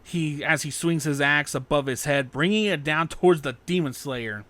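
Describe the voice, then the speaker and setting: A male narrator reads an action story aloud in a dramatic style. His voice sits a little high and has a bright, forceful tone. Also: normal speed.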